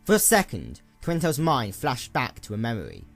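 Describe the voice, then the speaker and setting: Expressive man narrating a story. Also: medium pitch, normal speed, faint music behind. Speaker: audiobook narrator. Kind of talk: dramatic scripted story narration.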